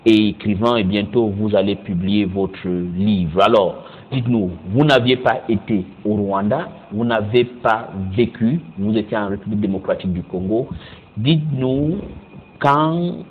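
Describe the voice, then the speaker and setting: A man with a low voice hosts a television panel and probes his guests with questions. He often echoes their answers back. The sound is muffled and lo-fi. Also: unhurried, a little hiss or hum. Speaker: TV talk show host. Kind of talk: studio panel interview. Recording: muffled lo-fi broadcast audio.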